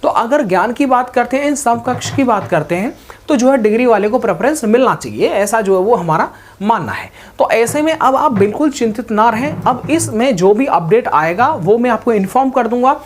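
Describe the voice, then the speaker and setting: A man's voice: very high voice, lively intonation, moderate pace, slight background noise. A man hosting an explanatory talk in a didactic way, mixing in English words and often checking that listeners follow. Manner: mixes English words into speech. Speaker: host of a job exam news channel.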